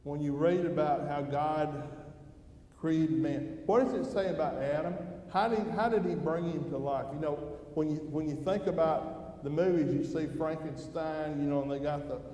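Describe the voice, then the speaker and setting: Older male devotional speaker, folksy and conversational, mellow tone, slightly high pitch. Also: moderate pace. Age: older, grandfather. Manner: folksy, conversational, asks the listeners questions. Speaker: devotional speaker at a church service. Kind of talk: devotional talk. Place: church hall during an evening service. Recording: roomy, echoing hall with background noise.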